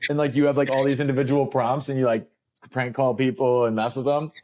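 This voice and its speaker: A man's voice: mid-range voice, level tone, fairly quick, darkish tone, slight background noise, poor audio. A young man co-hosting a podcast, chatting in a loose, upbeat way and leaning on filler words. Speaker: podcast co-host. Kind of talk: casual chat.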